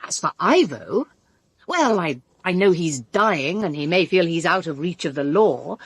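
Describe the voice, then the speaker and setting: An actress playing a brittle, sharp-tongued woman suspect in a radio drama, with a British accent and an emphatic, cutting delivery. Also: on the low side, sing-song, measured pace, breathy edge, no echo, heavily acted. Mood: urgent, speculating. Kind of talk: full-cast radio drama dialogue.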